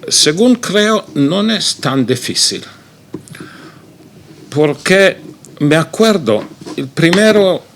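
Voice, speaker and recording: A man with an Italian accent gives a seminar talk in Spanish, which is not his first language. He speaks slowly and haltingly, filling his pauses with "eh". His voice sits at a middle pitch. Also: a bit bright, slight background noise. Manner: halting, with frequent "eh" fillers. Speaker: guest speaker.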